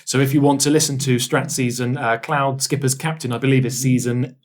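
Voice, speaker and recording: A man with a mid-range voice hosts a relaxed retrospective podcast conversation. He talks informally and tends to hesitate and repeat phrases as he builds his point. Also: medium pitch, a little fast. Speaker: podcast host. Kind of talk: casual retrospective chat.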